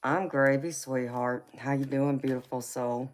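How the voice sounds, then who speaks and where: A woman's voice: a bass voice, fairly even intonation, measured pace. A woman in her forties with a slight Southern American twang, chatting casually with viewers on a livestream and calling them baby and honey. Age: 40s. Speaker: tarot reader answering live chat.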